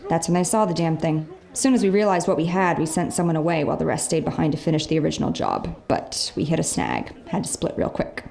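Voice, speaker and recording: Actress as fast-talking, low-voiced woman thief. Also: sing-song, vocal fry, darkish tone, full character voice. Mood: matter-of-fact. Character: brisk, candid female leader of a thieving crew. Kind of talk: audio drama acting.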